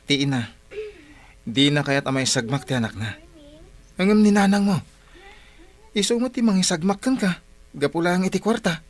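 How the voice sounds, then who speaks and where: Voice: medium pitch, expressive, normal speed, long pauses, close to an everyday voice. An actor playing a sympathetic, meddling friend with an Ilocano accent and a concerned, insinuating manner in a radio drama.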